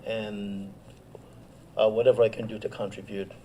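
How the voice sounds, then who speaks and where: A man's voice: lowish voice, little pitch movement, moderate pace, mellow, lo-fi sound. A middle-aged man from Guyana introducing himself as a guest in an interview. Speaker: guest, clinical psychotherapist. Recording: faint background noise.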